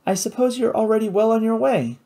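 An audiobook reader voicing a wealthy, eager, well-meaning young woman who is earnest and quick to take offence at unfairness. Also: mid-range voice, mellow, pitched above the performer's own voice, close to an everyday voice. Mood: encouraging.

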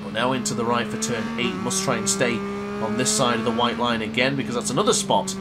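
A young man with a cold, brisk and congested, commentating a motorbike race in a game. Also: medium pitch, steady tone. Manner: brisk commentator-style narration, self-correcting. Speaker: gaming commentator. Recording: loud game music behind.

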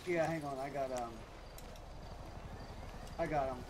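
An excitable man with a high voice chatters casually with fellow players during an online game. His voice chat has clear background noise. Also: a little slow. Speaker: player in a group gaming session.

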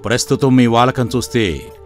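A man voicing a wise, calm old village father in an animated moral story, speaking in a measured, explanatory way. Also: mid-range voice, lightly acted. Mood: calm, instructive. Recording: voice over background music.

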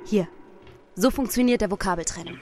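A woman's voice: medium pitch, a little fast, darkish tone, close to an everyday voice. A woman playing a young, self-absorbed, condescending well-to-do wife in a surreal radio play. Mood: instructive. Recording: music under the voice.

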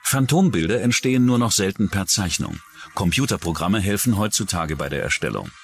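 A man's voice: low-pitched, very animated, fairly quick, creaky. A man reading scripted narration for a true-crime documentary. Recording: music under the voice. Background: background music.

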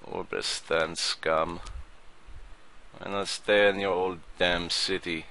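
A man's voice: sits low, little pitch movement, slow, vocal fry, bright, forceful tone, a little hiss or hum, heavily acted. A man voicing a grumpy, crude male border inspector who speaks curt, broken English while playing a game. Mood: gruff grumbling. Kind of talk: let's play commentary in character.

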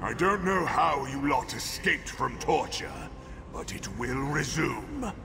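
Gravelly menacing lich, slow, heavy music over him. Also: male voice, medium pitch, animated, in a large hall. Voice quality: gravelly, sneering. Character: cruel male lich sorcerer, menacing. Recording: game audio under loud music.

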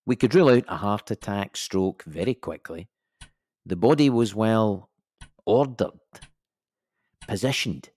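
An actor playing a middle-aged Scottish police inspector who gives measured, wry, matter-of-fact testimony in an audio drama. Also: male voice, fairly low pitch, lively intonation, a little mellow, no echo. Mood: matter-of-fact, reflective. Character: dry, methodical male Scottish police inspector.

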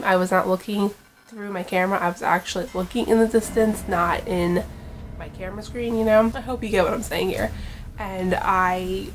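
A young woman vlogging from her bedroom, chatting to the camera about a spooky experience with a low voice and lots of "like". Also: low-quality recording. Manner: chatty, retelling events with frequent "like" and "I'm like". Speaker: paranormal vlogger. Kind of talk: casual vlog talk to camera. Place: bedroom indoors. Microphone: camera facing her at desk distance.

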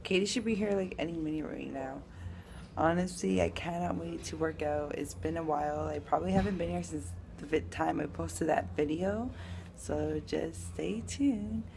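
A woman's voice: sits low, moderate pace, gravelly, background noise. A young woman vlogging casually on a phone held at arm's length, talking to her viewers with slang at home and at the gym. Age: young adult. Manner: chatty, slangy, talks to viewers as she goes. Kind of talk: casual vlog chat. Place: home, then a gym indoors.

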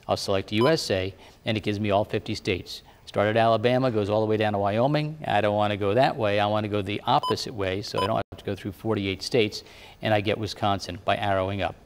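A man's voice: on the low side, steady tone, fast talker, big-room sound, background noise. Patient TV weatherman explaining step by step. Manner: plain, patient instructive talk. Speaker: TV meteorologist. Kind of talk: step-by-step demonstration. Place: TV studio weather set.

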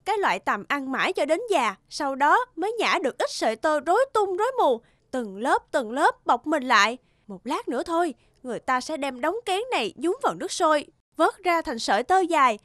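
High-pitched sneering female spider. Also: sing-song, a little fast, a bit bright, no room sound, studio quality. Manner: sneering, taunting. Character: spiteful mocking female spider. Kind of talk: cartoon voice acting.

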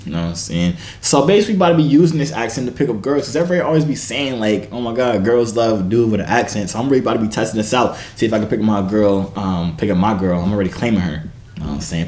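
A young man talks fast and playfully to his webcam from a small home gym, his pitch bouncing all over. The room gives his voice a noticeable echo. He is full of slang and jokes. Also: darkish tone. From Jamaica and England. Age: young adult. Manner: joking, slangy, fast-talking. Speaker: vlogger. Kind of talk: casual vlog chat.

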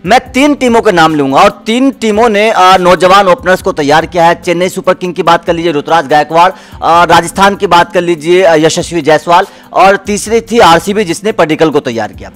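A man in his thirties argues his view as a cricket analyst on a studio panel. His voice runs high, and he builds his case by listing examples, with faint music behind. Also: a little fast, hardly any pauses, a bit bright. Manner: makes points by listing examples, gestures while talking. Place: indoor TV studio set.

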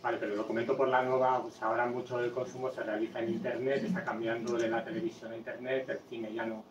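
A man's voice: medium pitch, little pitch movement, quick pace, lo-fi sound. A man in a casual discussion, pressing his point in an insistent, conversational way. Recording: distant, echoey room with noise.

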